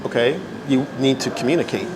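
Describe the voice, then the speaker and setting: A man's voice: mid-range voice, brisk, crowd noise, low-quality recording. A man from Canada in his fifties, answering interview questions at length in a casual way and often checking for agreement. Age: 50s. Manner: talkative, repeats "right?" for agreement. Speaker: interview guest.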